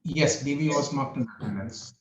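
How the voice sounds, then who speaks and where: A muffled, echoing recording carries a man with a medium-pitched voice. He talks informally and procedurally, like an official taking attendance on an online meeting. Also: moderate pace, crowd noise. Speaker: meeting official running a vote. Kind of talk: informal meeting administration, roll call. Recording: roomy, muffled online call with noise.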